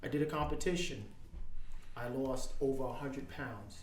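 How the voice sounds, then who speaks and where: A man in his fifties speaking in casual chat as a podcast guest, earnest and halting, with many ums and restarts. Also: medium pitch, reverberant, slight background noise. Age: 50s.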